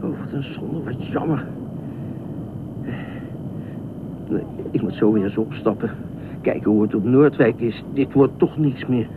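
A man's voice: medium pitch, normal speed, lightly acted. A man in a radio play acting an eccentric, talkative lifeguard, anxious and pleading, rambling and repeating himself. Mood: fretful, confiding. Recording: tinny band-limited radio play, faint noise.

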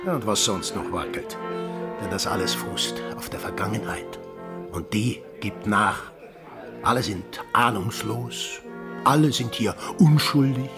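An actor playing a bitter, mocking sailor in a radio drama, with a sardonic, emphatic way of talking. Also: male voice, lowish voice, unhurried, over music. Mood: mocking, defiant. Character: bitter, mocking sailor confronting village men over buried dead.

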